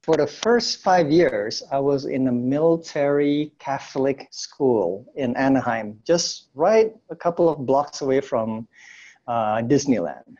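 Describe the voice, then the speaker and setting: Halting man on a slightly dull call. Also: mid-range voice, animated, a little mellow. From Indonesia, later United States. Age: 40s. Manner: halting, self-correcting. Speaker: interview guest, entrepreneur. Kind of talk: casual conversational interview. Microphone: remote call.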